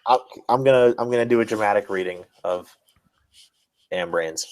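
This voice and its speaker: A man's voice: lowish voice, moderate pace, a little mellow, low-quality recording. A man hosting a podcast, giving a mock-serious dramatic reading of fan fiction. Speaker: podcast host.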